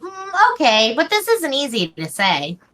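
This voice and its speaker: A woman plays a young female troll, frank and full of spirit, in a webcomic reading. Her voice is high and bright, with lively swings in pitch. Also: unhurried, full character voice. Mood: hesitant, hopeful. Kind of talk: amateur dramatic reading of a webcomic.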